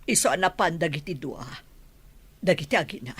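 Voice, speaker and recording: A woman's voice: low voice, animated, a little fast, fairly bright tone, slightly rough, full character voice. A woman playing a worried, plain-spoken older mother in a Filipino audio drama. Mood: worried, suspicious.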